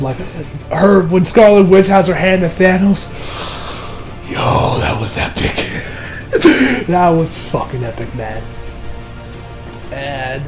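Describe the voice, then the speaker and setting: A young man with a high-pitched voice talks off the cuff as a fan reviewer. He is excitable and gushing, speaking casually with frequent swearing, over background music. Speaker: movie reviewer.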